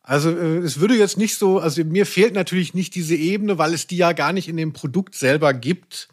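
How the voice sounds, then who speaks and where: A man with a slightly high voice chats casually as a podcast co-host. He rambles wryly and searches for words. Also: brisk. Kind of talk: casual chat about audio dramas.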